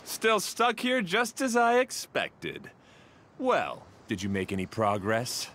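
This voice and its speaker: Exasperated, wildly expressive young man. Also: mid-range voice, takes it slowly, slight background noise, heavily acted. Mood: sardonic. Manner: gruff, exasperated, sarcastic. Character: irritable young man quarrelling with his co-worker. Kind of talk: video game voice acting.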